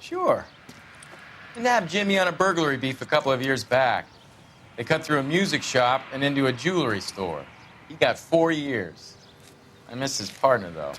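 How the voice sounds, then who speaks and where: An actor playing a chatty, wry American patrol cop in a 1940s crime video game, colloquial and matter-of-fact. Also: male voice, mid-range voice, lively intonation, moderate pace, fairly bright tone, a little hiss or hum, lightly acted. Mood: recounting.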